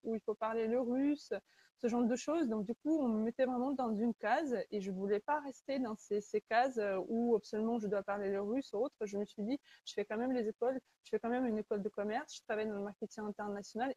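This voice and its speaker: A woman's voice: a little high, warm tone, no echo. A woman, hosting a webinar in an earnest, conversational way and often searching for her words. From Kazakhstan. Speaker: webinar host, Salesforce consultant. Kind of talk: webinar presentation.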